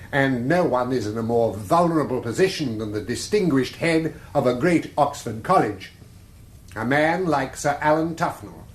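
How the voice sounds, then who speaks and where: An actor playing a grave, fair-minded English prosecuting barrister, speaking with deliberate, formal persuasion in a radio drama courtroom. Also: male voice, mid-range voice, close to an everyday voice. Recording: echoey courtroom sound, faint noise.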